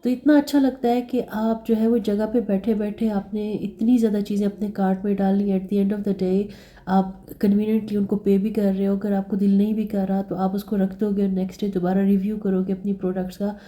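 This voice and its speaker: A woman vlogging in a chatty way, hoarse from a sore throat, repeating words and slipping English phrases into her talk. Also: mid-range voice, level tone, a little fast, warm tone. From Pakistan. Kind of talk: casual vlog narration.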